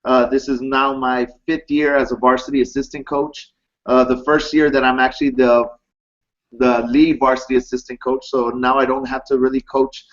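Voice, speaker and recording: A man's voice: medium pitch, level tone, moderate pace, a bit bright, highs cut off. A thirty-year-old man, a teacher and coach, talking earnestly and reassuringly with many fillers on a live video call. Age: 30s.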